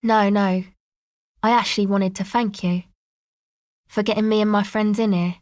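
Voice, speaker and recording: A cool, guarded young woman from a video game, played by an actress, talks with casual, slangy understatement. Her voice sits at an average female pitch and is laid-back and dry in delivery. The recording is close and free of room sound. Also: steady tone, moderate pace, darkish tone, dry recording, natural voice. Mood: awkward, grateful. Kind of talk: video game dialogue acting.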